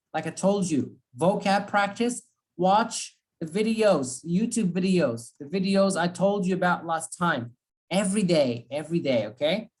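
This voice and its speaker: Woman teacher on a video call, kinda low voice, says everything twice, lots of "okay?". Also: darkish tone. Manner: repeats key phrases, checks in with "okay?". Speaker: English speaking teacher. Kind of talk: giving feedback in an online class.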